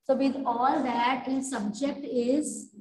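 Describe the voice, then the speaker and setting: A woman's voice: sits high, steady tone, slow pace, darkish tone. A woman in her thirties with an Indian English accent, teaching a grammar lesson at a chalkboard and checking again and again that her students follow. She speaks into a lapel mic in a classroom. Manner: repetitive, checks in with students often. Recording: roomy classroom echo.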